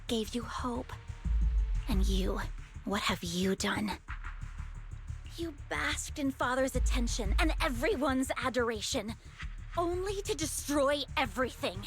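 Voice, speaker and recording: A high-voiced, haughty game villainess. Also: female voice. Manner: taunting, theatrical, condescending. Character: haughty, cruel villainess devoted to her Father's cult. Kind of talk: dramatic video game voice acting.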